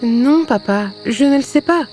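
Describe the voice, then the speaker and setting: Fairy-tale heroine: actress as brave young maiden, slightly high voice, earnest, full of wonder, faint music. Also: female voice, animated, unhurried, darkish tone. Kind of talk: fairy-tale cartoon acting.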